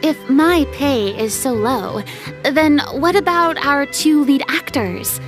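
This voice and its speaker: A woman's voice: high voice, takes it slowly, music in the background. A woman playing a theatrical, proud and playful young celebrity in a video game, with a pompous, dramatic manner. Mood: incredulous, wry.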